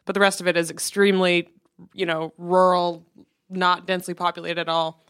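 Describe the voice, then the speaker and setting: A woman's voice: on the low side, level tone, brisk, a bit bright, clean tone. Chatty woman from the Midwest. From Omaha, Nebraska. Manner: chatty, many likes and hedges. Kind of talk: casual conversation.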